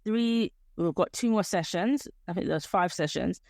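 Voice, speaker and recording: Chatty woman with a lowish voice. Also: normal speed, a little mellow, no echo, studio quality. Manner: chatty, conversational, with hesitant restarts. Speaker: podcast co-host. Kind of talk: casual chat.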